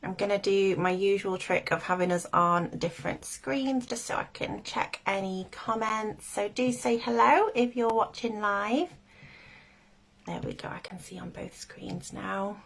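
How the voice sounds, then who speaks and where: A woman's voice: medium pitch, fairly even intonation, unhurried, vocal fry. A woman hosting a casual livestream with a stuffy, congested voice from a cold, chatty and meandering, often breaking into laughs. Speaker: livestream host, spiritual coach.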